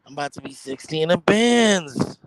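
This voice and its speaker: A man in his forties co-hosting a podcast, slangy, joking and animated in casual chat. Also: fairly high pitch, normal speed, a little hiss or hum, poor audio. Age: 40s. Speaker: podcast co-host.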